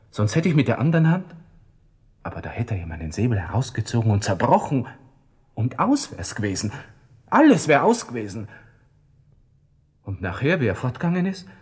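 An actor playing a panicked, proud young army lieutenant in a radio drama monologue, talking to himself with a Viennese accent. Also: male voice, mid-range voice, breathy edge. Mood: shocked, agitated. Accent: Viennese Austrian. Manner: agitated self-talk, from hushed muttering to outbursts.

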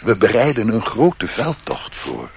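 Doddering old ant, wildly swooping voice. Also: male voice, lowish voice, huge pitch swings, measured pace, creaky, a little hiss or hum, full character voice. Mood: self-important. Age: older. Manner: doddering, repeats his words. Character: chatty, self-important old male ant, proud and warlike. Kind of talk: radio play acting.

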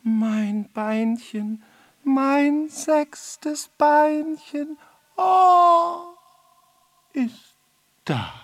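A woman playing a timid, ponderous beetle with a hesitant, drawn-out delivery in a children's radio drama. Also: high voice, expressive, no echo, full character voice. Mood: overjoyed. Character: timid, ponderous beetle missing its sixth leg.

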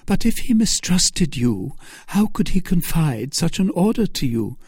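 A man voicing a passionate, scheming noblewoman who flatters and pleads fervently in a storybook reading. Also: on the high side, a little slow, husky, no room sound, pitched above the performer's own voice, exaggerated voice. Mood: questioning, anxious.

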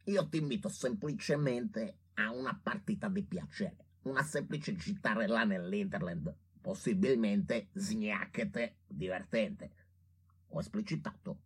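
An actor playing a cynical, self-satisfied young doctor with a Milanese accent, wheedling and slangy in a radio comedy. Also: male voice, on the low side, a little slow, husky. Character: pleading, cynical, foppish young northern doctor. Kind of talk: theatrical radio comedy acting.

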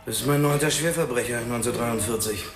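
Actor as cocky cop in an echoey room. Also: male voice, medium pitch, fast, loud music behind the voice, lightly acted. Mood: needling. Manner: provocative, self-confident. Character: cocky, self-assured male police boxer, needling his colleague. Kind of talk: crime radio drama dialogue. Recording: echoey room, clear background noise.

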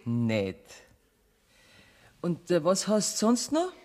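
An actress playing a proud, self-assured woman swindler in a radio play, with a husky voice and Bavarian accent. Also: lowish voice, huge pitch swings, takes it slowly, warm tone, exaggerated voice. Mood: curious. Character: proud, defiant woman swindler banker in prison. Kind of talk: German radio play acting. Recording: reverberant 1970s radio drama studio.

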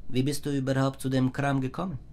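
An actor playing a sly, greedy hotel worker laid up in bed in a German radio play, scoffing and mocking with emphatic delivery. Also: male voice, medium pitch, completely flat delivery, fast, a little mellow, close to an everyday voice.

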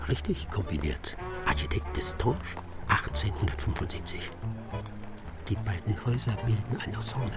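An actor playing a self-assured, theatrical master detective in a radio play, declaiming pompously and excitably with little care for others. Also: male voice, lowish voice. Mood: triumphant. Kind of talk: German radio play acting.

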